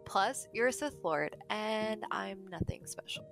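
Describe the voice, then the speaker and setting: Witty guarded spacefaring woman voiced by an actress, lively intonation, audio drama, faint music. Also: medium pitch, measured pace, gravelly. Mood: flustered, guarded. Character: guarded, quick-witted alien female crewmate.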